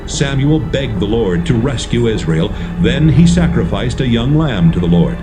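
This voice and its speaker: A man giving measured, storytelling narration for a dramatised audio Bible. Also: mid-range voice, lively intonation, loud music behind the voice.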